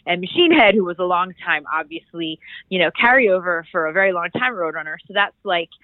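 A woman's voice: on the low side, lively intonation, fairly quick, no room sound. A woman from the Philadelphia area, stuffed up and nasal with a cold, chatting through her career story as a music publicist in an interview. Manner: chatty, rambling with fillers and restarts. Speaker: music publicist, podcast guest. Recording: tinny phone-like line.

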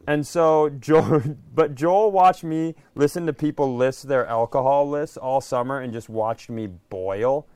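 A man's voice: mid-range voice, unhurried, few pauses, a little mellow, clear, resonant tone, dry recording. A man bantering casually as a comedy podcast host, with a crude, sardonic way of riffing.

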